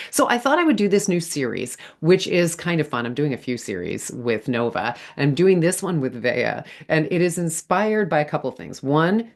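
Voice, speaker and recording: A woman hosting a casual vlog, talking in an expressive, playful way. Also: low voice. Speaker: host.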